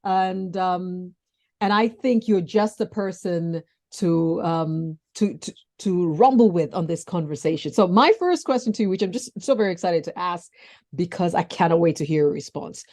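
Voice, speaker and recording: A woman's voice: fairly low pitch, lively intonation, normal speed. Enthusiastic woman podcast host. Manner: enthusiastic, warm, restarts often. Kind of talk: interview podcast chat.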